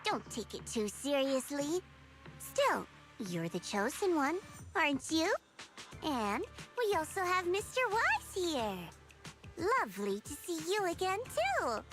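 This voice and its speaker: A woman's voice: sits high, wildly swooping pitch, takes it slowly, over music, full character voice. A woman voicing a teasing, self-assured young female agent in a video game, with a playful, smug, coaxing delivery.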